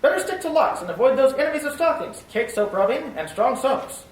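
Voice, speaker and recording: A woman announcing and reading a commercial in a bright, persuasive 1940s radio style. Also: sits high, big pitch swings, fairly quick. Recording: distant, roomy old broadcast with hiss.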